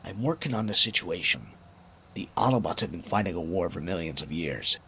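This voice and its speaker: Man playing deep, persuasive robot leader. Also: lively intonation, brisk, bright, forceful tone, slight background noise, exaggerated voice, rough recording. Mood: firm, persuasive. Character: persuasive, resolute robot leader of discontented civilians. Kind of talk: audio drama acting.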